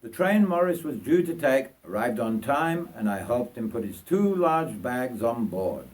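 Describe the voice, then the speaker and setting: An older man from North Yorkshire reads his own memoir aloud in a measured, reflective storytelling manner. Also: mid-range voice, big pitch swings, darkish tone. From North Yorkshire, England. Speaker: writer reading own memoir. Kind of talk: scripted autobiographical storytelling.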